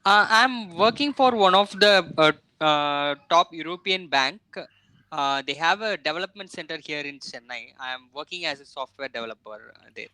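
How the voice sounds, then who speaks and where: A man's voice: on the high side, lively intonation, normal speed, sharp tone, clear, resonant tone, dry recording, poor audio. A young South Indian man with an Indian English accent, speaking hesitantly and politely on a video call with his English tutor. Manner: hesitant, many uh fillers, polite. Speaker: software developer, English learner. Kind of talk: online lesson conversation.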